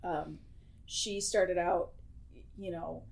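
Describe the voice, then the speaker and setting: A woman's voice: lowish voice, fairly even intonation, drawn-out words, creaky, a little mellow. A woman with an American accent co-hosting a casual podcast discussion, explaining things in an analytical way. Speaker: podcast co-host. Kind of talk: casual discussion of fairy tales. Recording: music under the voice.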